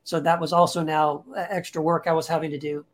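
A man's voice: on the high side, little pitch movement. A man, a podcast guest and author, talking casually and earnestly in an interview, often restarting his sentences, into a podcast microphone. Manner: earnest, reflective, speaks in halting restarts.

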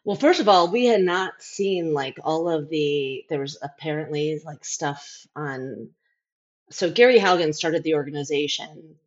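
A middle-aged woman speaks candidly as an interview guest in a fairly low voice. Her phrasing is halting, with thoughts restarted or left trailing. The recording is somewhat dull and lo-fi. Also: expressive. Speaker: interview guest, former overseas aid worker.

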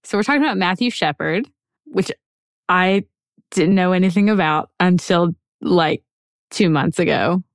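A woman in her mid twenties co-hosts a podcast in a slightly low voice. She chats informally with slang and self-deprecation, recorded dry with little room sound. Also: normal speed, no room sound. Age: 20s. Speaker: podcast co-host. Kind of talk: casual chat.

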